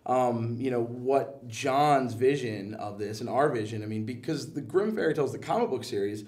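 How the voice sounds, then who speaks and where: A man's voice: mid-range voice, lively intonation, normal speed, a little mellow. A man speaking as an interview guest in a casual, enthusiastic, slangy and joking way. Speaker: guest, comic book and animation producer. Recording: voice somewhat far from the mic.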